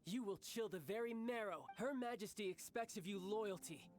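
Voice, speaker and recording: An actor playing a proud, theatrical young military commander in a video game, giving grand speeches that sometimes stumble. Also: male voice, high-pitched, moderate pace, slight background noise, close to an everyday voice. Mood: grandiose. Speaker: game character.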